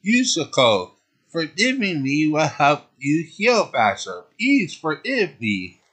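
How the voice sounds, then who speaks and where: A man voicing a gentle young male hero who speaks softly and comfortingly, almost begging for forgiveness. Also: a little high, huge pitch swings, slow, slightly rough, voice pushed up, exaggerated voice. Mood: comforting, pleading.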